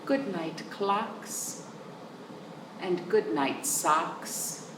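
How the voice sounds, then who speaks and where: A woman hosting storytime for young children, reading aloud in an animated sing-song way with chatty asides to her listeners. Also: on the low side, drawn-out words. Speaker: children's storytime host at a library. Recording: roomy, distant microphone with noticeable background noise.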